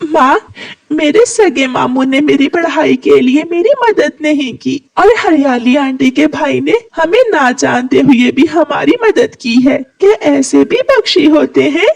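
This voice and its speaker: Caring schoolgirl sparrow for a cartoon, played by a woman, sweet, gentle, pitched up high. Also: animated, a little slow, gravelly, full character voice. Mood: wondering. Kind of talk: cartoon moral story voice acting.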